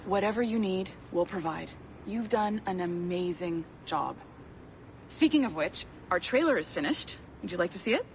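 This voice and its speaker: An actress playing an upbeat, polite company manager in a video game, speaking encouragingly and a little haltingly. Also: female voice, lowish voice, expressive, a little hiss or hum. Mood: friendly, appreciative.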